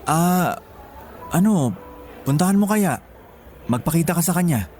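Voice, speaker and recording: A man's voice: fairly high pitch, expressive, a little slow, a little mellow. A man acting in a Filipino radio drama as a grateful, protective younger brother with an earnest delivery. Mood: hesitant. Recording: music behind the voice.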